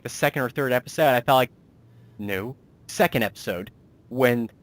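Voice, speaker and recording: A man's voice: medium pitch, a bit bright. A man hosting a casual interview as a writer and producer, talking in a rambling, self-deprecating way.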